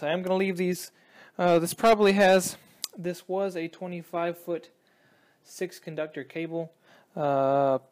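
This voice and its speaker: A young man giving a casual hands-on demonstration as a hobbyist, talking in a halting, think-aloud way. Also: on the high side. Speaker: hobbyist presenter. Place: indoors at a tabletop.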